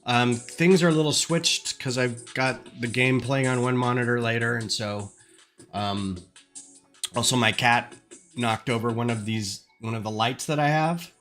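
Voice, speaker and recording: A man's voice: mid-range voice, unhurried, a bit bright. Man with a stuffed-up nose streaming from his desk, rambling and thinking aloud, mid-range voice, faint music behind. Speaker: LEGO designer streaming. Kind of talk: casual livestream chat. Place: home desk. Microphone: desk microphone.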